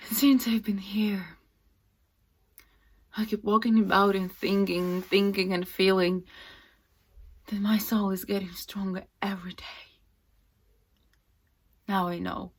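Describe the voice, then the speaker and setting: A woman playing a fragile, distraught young actress in a dramatic, halting stage monologue. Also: medium pitch, little pitch movement. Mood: quiet resolve. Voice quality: breathy, often dropping to whispers. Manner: halting, intense, swinging from hushed to loud.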